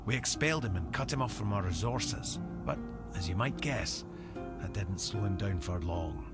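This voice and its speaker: An actor playing a cynical, shadowy guild member in a fantasy game, speaking in a measured, wry, secretive way. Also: male voice, fairly low pitch, lively intonation, close to an everyday voice. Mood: grave, wry. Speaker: quest giver in a fantasy game. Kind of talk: scripted fantasy game dialogue. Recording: music behind the voice.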